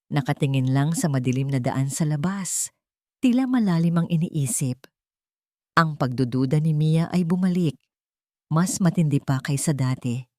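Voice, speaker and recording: A woman reading a scripted dramatic story in a measured storytelling manner. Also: sits low, warm tone, dry recording, clean studio sound. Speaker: story narrator. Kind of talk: scripted dramatic narration of a Tagalog romance story.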